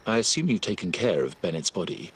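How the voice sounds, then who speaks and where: An actor playing a ruthless, calculating crime family boss in a video game, cold and commanding, with a husky, rough voice. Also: male voice, sits low, sing-song, fast, a little hiss or hum, low-quality recording. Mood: cold menace. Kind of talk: dramatic video game voice acting.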